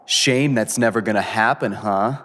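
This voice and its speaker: A man's voice: fairly low pitch, moderate pace, a bit bright, a little hiss or hum, lightly acted. An actor playing a gangster's henchman in an audio drama, taunting with a mock-friendly menace. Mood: smug, threatening. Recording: long reverberant room, faint music.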